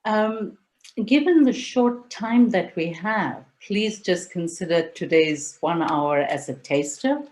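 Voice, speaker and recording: A middle-aged woman who grew up in India, presenting an online webinar over a video call in a measured, step-by-step way. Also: lowish voice, expressive, a little mellow.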